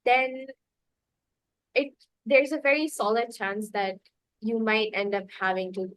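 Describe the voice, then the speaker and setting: A young woman whose first language is Urdu hosts a relaxed podcast chat. Her voice sits a little high, and she rambles through her points with plenty of "like" and easy laughter. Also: a little slow, no echo, poor audio. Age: young adult. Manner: chatty, laughs often, many filler likes. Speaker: podcast host.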